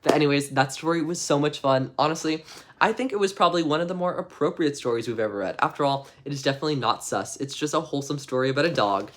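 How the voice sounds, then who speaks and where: A man's voice: a little high, animated, fast talker, a little hiss or hum. A man hosting a livestream of bedtime stories, chatting to viewers and reading aloud in a mock-innocent, tongue-in-cheek manner. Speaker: streamer host reading stories. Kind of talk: livestream chat and storybook read-aloud.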